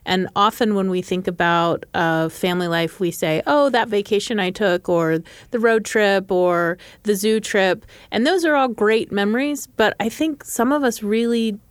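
A woman's voice: mid-range voice, normal speed, few pauses, clear, resonant tone, dry recording. Female radio panelist, mid-range voice, reflective conversational delivery, dry close studio sound. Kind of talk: thoughtful studio discussion.

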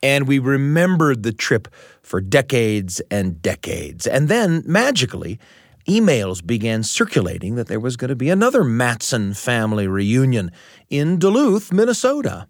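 A middle-aged American man telling a personal story as a radio host, with deliberate, literary phrasing and dramatic repetition. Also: mid-range voice, big pitch swings, no room sound, studio quality. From United States.

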